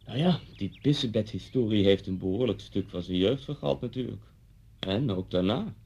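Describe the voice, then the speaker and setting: Gravelly, guarded man with a grudge. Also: on the low side, moderate pace, darkish tone. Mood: guarded, uneasy. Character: guarded male visitor quietly nursing a grudge. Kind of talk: naturalistic radio drama acting. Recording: muffled, dull radio play recording.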